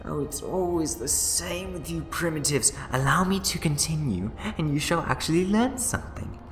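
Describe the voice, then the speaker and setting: A man playing a scheming, bitter renegade Time Lord in a sci-fi audio drama, speaking in theatrical, condescending, deliberate speeches. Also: on the high side, lively intonation, reverberant, soft background music, natural voice. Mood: condescending, smug.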